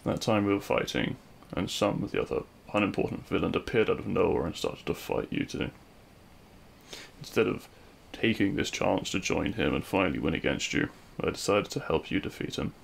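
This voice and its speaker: Man playing a low, gravelly, regretful villain. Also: animated, a little hiss or hum, exaggerated voice. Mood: confessional. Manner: hushed, halting, wistful, with pauses and sighs. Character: regretful lovelorn male supervillain addressing his fallen hero. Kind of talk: scripted ASMR audio roleplay monologue.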